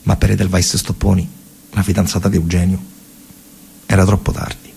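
A man with a central Italian, Roman accent performing a colloquial, emphatic theatrical storytelling monologue, pausing and repeating words, in a gravelly, husky voice. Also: sits low, little pitch movement, a little mellow, slight background noise. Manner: colloquial, emphatic, with pauses and repetitions. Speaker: stage actor and narrator.

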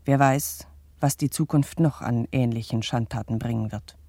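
An actor playing a pompous, indignant bourgeois narrator of the 1870s, addressing the public in formal, old-fashioned, declamatory phrases in a radio play. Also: male voice, medium pitch, moderate pace, warm tone, no echo, lightly acted. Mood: grave indignation.

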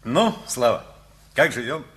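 An earnest, effusive engineer of middle age in a radio comedy. His voice is very husky and swings widely in melody. He muses and rambles with emphasis, easily flustered. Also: mid-range voice, big pitch swings, a little slow, a bit bright, heavily acted. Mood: hearty, reflective. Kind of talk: Russian radio comedy play acting.

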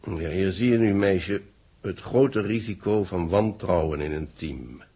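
An actor playing a knowing, measured male newspaper reporter in a 1960s Dutch radio drama, with a gravelly, husky voice. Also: low voice, mellow. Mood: explaining, grave.

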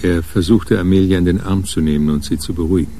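A man with a gravelly voice reading scripted narration for a crime radio drama. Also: deep voice, fairly even intonation, fast talker, mellow. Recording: clearly noisy background.

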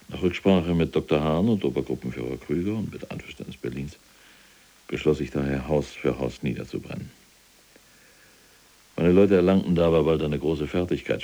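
A man's voice: a bass voice, vocal fry, mellow, breathy edge, exaggerated voice. An actor playing a proud, unrepentant older SS general in prison, boastfully and precisely recounting his deeds in a radio play. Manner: boastful, precise, military enumeration. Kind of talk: German radio play dialogue.

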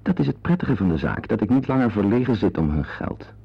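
A proud, stubborn writer in an old radio drama, talking rapidly with lively swings in tone. He protests bluntly and indignantly, on a dull recording. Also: fairly low pitch, gravelly, a little hiss or hum. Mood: defiant. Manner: blunt, protesting, hesitant when cornered. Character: stubborn, indignant male writer who refuses to be bought. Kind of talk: radio play acting.

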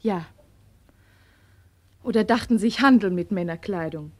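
An actress playing a brisk, teasing soldier's wife in a German radio play, bossy and impulsive but tender underneath. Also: female voice, mid-range voice, natural voice. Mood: curt, bitter.

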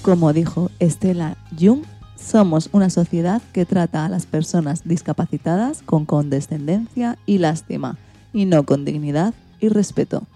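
Spanish woman presenting, mellow lowish voice. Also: moderate pace, soft background music. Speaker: host. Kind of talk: scripted programme presentation.

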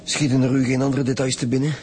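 A man with a Flemish accent playing a gruff, impatient chief police detective in a radio drama. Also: medium pitch, flat delivery, quick pace, darkish tone, slight background noise, natural voice. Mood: businesslike. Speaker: actor in a radio crime serial.

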